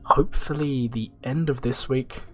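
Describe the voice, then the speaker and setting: A man with a blocked nose and a nasal voice, chatting casually on a gaming livestream and answering viewers' questions in a rambling, relaxed way. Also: mid-range voice, moderate pace. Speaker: streamer.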